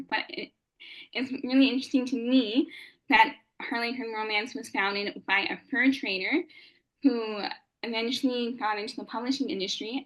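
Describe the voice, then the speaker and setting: A young woman with a fairly high voice, giving an earnest prepared lecture at a historical society meeting. She pauses often with "um" and corrects herself mid-sentence. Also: fairly bright tone, low-quality recording.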